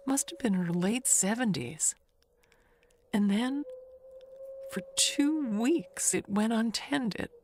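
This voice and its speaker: A woman playing a chatty, evasive older neighbor who rambles haltingly through an audio mystery monologue, with noise behind her. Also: mid-range voice.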